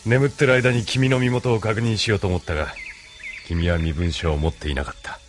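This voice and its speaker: 男性声優がアニメのオーディオドラマで、落ち着いて威厳のある中年の軍大佐を演じている。. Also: male voice, fairly low pitch, steady tone, lightly acted. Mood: probing, assured. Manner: measured, authoritative. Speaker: voice actor. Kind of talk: anime audio drama acting.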